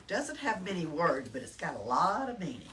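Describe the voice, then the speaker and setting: A woman with a Southern US accent reading a picture book aloud to children, expressively, pausing to put questions to them. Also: on the low side. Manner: expressive, engages listeners with questions. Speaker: storytime reader at a library. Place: indoors in a library. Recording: distant mic, noticeable room noise.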